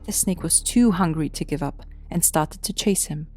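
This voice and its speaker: Female narrator, storybook read: mellow, slightly low voice, faint music underneath. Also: normal speed, dry recording.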